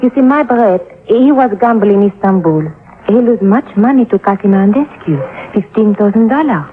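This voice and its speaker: A woman's voice: mid-range voice, animated, normal speed, lightly acted. Woman doing coy foreign schemer lady, accented broken english, thin phone-like old radio sound. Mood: confiding. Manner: coy, playful, broken English, speaks of herself by name. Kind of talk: 1950s radio drama acting. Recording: tinny, narrow-band old radio recording.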